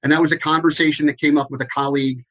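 A man answering interview questions in a measured, explanatory way, with some restarts. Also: fairly high pitch, little pitch movement, fairly bright tone. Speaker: guest, compliance expert.

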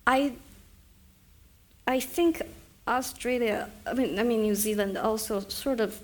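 A woman's voice: on the high side, a little slow. Hesitant woman author. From China. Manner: soft-spoken, hesitant restarts, thoughtful. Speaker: author at a reading. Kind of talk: live book event Q&A and reading aloud.

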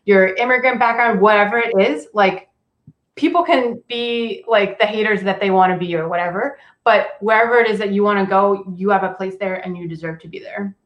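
A woman's voice: medium pitch, brisk, lo-fi sound. A woman whose first language is Cantonese, a career coach and author, talking casually in a podcast interview with many "like"s and "um"s. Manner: casual, frequent "like" and "um", laughs often. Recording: echoey room.

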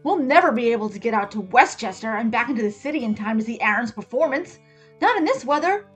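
A woman voicing a condescending, scolding grandmother in a story, brisk and unhelpful. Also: a little high, animated, slightly rough, faint music behind.

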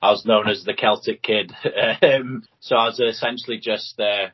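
A young Welsh man, Welsh his first language, talking loosely about his wrestling with plenty of "like" and "um". His voice sits a little low and sounds husky. The audio is dull and lo-fi. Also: moderate pace. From Anglesey, Wales. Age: young adult. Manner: relaxed, lots of fillers and "like". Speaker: guest wrestler. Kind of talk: casual interview chat.

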